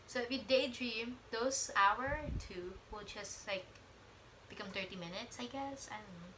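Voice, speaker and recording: Casting: young woman, bright, clear voice, casual vlogger reading aloud, rambly, trips over words. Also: a little high, normal speed, a little hiss or hum, rough recording. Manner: rambling, stumbles over words, chatty asides.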